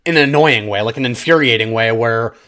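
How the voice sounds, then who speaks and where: A man's voice: medium pitch, brisk, sharp tone. Casual man giving an unscripted film review. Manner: conversational, opinionated, informal slang, addresses audience as guys. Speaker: film reviewer, channel host. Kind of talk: casual unscripted video review, talking to viewers.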